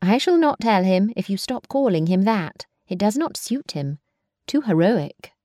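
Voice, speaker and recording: A woman's voice: medium pitch, very animated, unhurried, warm tone, no echo. A woman voicing a prim young sister with a dry, disapproving manner.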